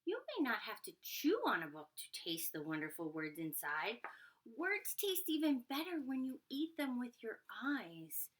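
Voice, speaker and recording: A woman's voice: fairly high pitch, big pitch swings, measured pace, fairly bright tone, voice pushed up, heavily acted. A woman voicing a sensible young girl in a children's storybook, firm and instructive. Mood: coaxing.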